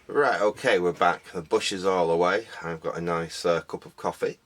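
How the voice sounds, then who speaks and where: A man talking casually to camera about his car boot finds, rambling and repeating "like I said", his voice a little croaky. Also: low voice, moderate pace, a bit bright. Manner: rambling, repeats "like I said", many ums. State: slightly croaky voice.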